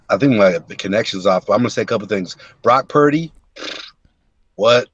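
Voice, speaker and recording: A man's voice: fairly low pitch, normal speed, husky. A man calling into a sports show, chatting in a laid-back, slangy way over a call line. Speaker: caller. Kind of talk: casual phone call-in. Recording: dry, dull lo-fi call line.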